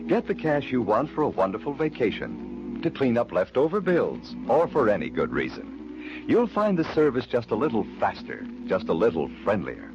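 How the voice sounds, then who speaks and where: Male commercial announcer, vintage radio ad read, mid-pitched, highly animated, over music. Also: moderate pace. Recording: dull old radio recording, music behind.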